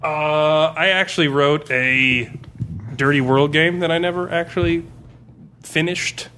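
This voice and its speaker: A man's voice: fairly high pitch, a little slow, bright, forceful tone, a little hiss or hum. A man in a casual podcast chat, telling stories as a game master in an informal, rambling way. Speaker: game master discussing a campaign he ran.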